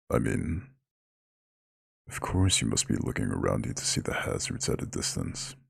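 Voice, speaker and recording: A man voicing an ancient, imposing eldritch god who is gently caring and proud, speaking in a slow, soothing, formal growl in an ASMR roleplay. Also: no echo, studio quality, exaggerated voice. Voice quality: very deep gravelly husky growl.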